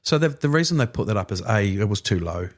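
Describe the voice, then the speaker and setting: A man in his fifties with a New Zealand accent, a guest explaining finances in relaxed, chatty talk. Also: on the low side, fast. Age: 50s. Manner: relaxed, chatty, frequent filler agreements. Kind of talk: casual interview chat.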